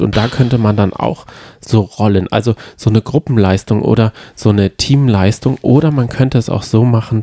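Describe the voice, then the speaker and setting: A man's voice: fairly low pitch, warm tone, no room sound, studio quality. A man co-hosting a podcast in casual chat, rambling and often trailing off mid-sentence. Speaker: podcast co-host.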